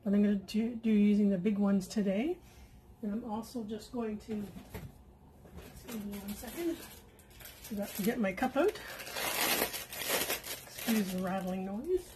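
A woman's voice: medium pitch, unhurried, pauses often, noisy background. A woman hosting a paint-pouring channel, chatting casually at home as she works at her craft table. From Canada. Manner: chatty and relaxed, with fillers and asides. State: feels she is losing her voice. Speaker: acrylic pouring art channel host. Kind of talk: casual tutorial chat while painting.

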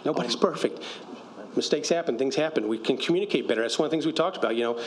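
A man's voice: medium pitch, a little hiss or hum. A middle-aged man speaking firmly at a council meeting, explaining and defending an agreement. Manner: firm, explanatory, quick-talking. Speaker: county official at a council meeting. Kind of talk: council debate. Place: council chamber. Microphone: desk microphone.

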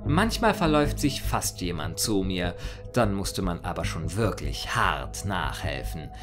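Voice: low-pitched, sing-song, a little slow, over music. A performer voicing an immortal, mischievous chaos creature in a theatrical fan audio monologue, mock-formal, sardonic and playfully rambling. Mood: smug, wry. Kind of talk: theatrical first-person character monologue in a fan audio story.

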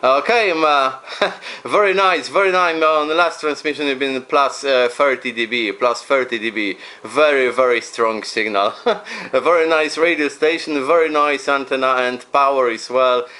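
A friendly man talks as an amateur radio operator. His English is hesitant and polite, and he spells out call signs phonetically. His pitch sits in the middle for a man. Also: normal speed, pushed and bright. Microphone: radio desk microphone.

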